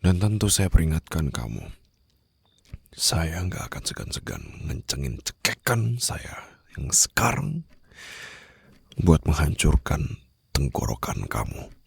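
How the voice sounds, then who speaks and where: A man playing a menacing, condescending mafia boss in an ASMR roleplay, with a gravelly, breathy voice that often drops toward a whisper. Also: a bass voice, very animated, no echo, clean studio sound, exaggerated voice. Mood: menacing, low. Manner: slow, taunting, controlled threats. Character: menacing, condescending young male mafia boss holding a captive.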